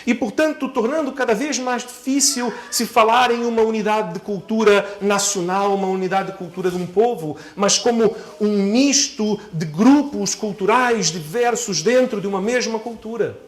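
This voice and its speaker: A male pastor delivers a sermon in a notably high voice. He builds his points in measured, deliberate phrases. Key words come back in emphatic repetition as he addresses his congregation. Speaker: pastor preaching.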